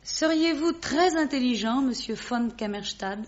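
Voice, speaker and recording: A woman's voice: sits high, animated, measured pace. Witty society lady, slightly muffled. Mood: teasing, challenging. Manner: playful, mocking, theatrical. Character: poised, witty, teasing high-society lady. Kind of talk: French radio drama acting. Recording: dull lo-fi radio recording.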